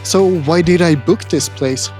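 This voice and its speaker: A man's voice: a little high, measured pace, a little mellow, faint music behind. A man from Sweden, narrating his travel vlog in a dry, wry and grumpy humor. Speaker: travel vlogger.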